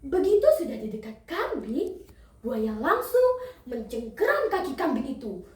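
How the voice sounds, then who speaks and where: A young female telling a children's fable theatrically, with dramatic drawn-out emphasis. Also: sits high, big pitch swings. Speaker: storytelling contestant. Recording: echoey room, distant microphone.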